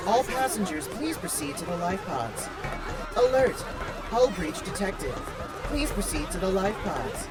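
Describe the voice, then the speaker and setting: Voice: medium pitch, big pitch swings, unhurried. An impassive automated spaceship computer in an audio drama, giving clipped, emotionless emergency announcements. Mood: impassive, urgent. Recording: distant, loud music and crowd behind.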